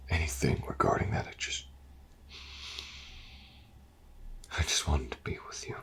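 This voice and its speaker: A brooding, bitter detective, acted by a man in an intimate audio roleplay. His voice is extremely deep and full of heavy gravel, muttering in hushed, halting phrases. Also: fairly even intonation, a little hiss or hum, heavily acted. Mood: weary, hurt. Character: bitter, brooding male detective, vengeful yet tender.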